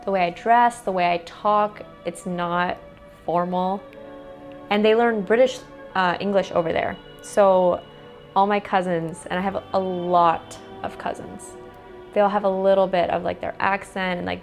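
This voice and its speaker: A woman's voice: on the low side, steady tone, normal speed, vocal fry, soft background music. A young American woman answering interview questions in a casual, chatty way, with lots of "like" fillers. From United States. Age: young adult.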